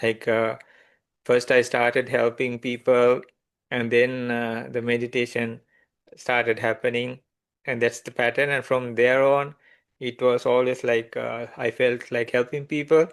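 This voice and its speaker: A man whose first language is Sinhala, a meditation teacher answering gently and hesitantly, with many fillers. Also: mid-range voice, level tone, measured pace, clear, resonant tone. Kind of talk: conversational interview answers.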